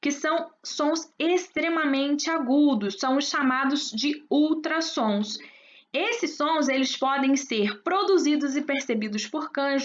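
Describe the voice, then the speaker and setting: A woman teacher explaining a science lesson, talking straight to her students and checking they follow along. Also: high-pitched. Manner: explanatory, addresses students with frequent check-ins. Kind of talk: recorded class explanation. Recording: dull lo-fi recording.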